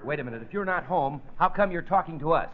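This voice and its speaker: A man as a high, excitable dim-witted sidekick. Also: fast, close to an everyday voice. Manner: excitable, simple-minded, repeats himself. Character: eager, dim-witted male detective's sidekick. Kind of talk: old-time radio comedy acting. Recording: dull, very noisy old radio recording.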